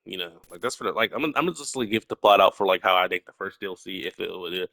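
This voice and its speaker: A man's voice: deep voice, racing, creaky, pushed and bright, dry recording. A young man with a stutter, talking casually and at length as a solo video creator, full of fillers and restarts. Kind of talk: rambling casual monologue.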